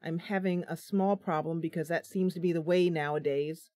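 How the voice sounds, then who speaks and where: A woman's voice: on the low side, fairly even intonation, moderate pace, a little mellow, no room sound, studio quality. A tired woman streaming live from her home computer, chatting casually, laughing at her own slip-ups. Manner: chatty, giggly, self-deprecating.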